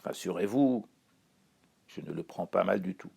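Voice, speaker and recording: A man from Iran reading his own spoken column in a wry, ironic, measured way. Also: sits low, expressive, creaky, a little mellow, slightly rough. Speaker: chronicler. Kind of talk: read-aloud spoken column.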